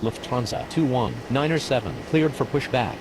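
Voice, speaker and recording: A man's voice: mid-range voice, moderate pace, close to an everyday voice. A man playing a procedural airline radio voice in an air traffic game, with scripted calls. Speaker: pilot and controller radio voice.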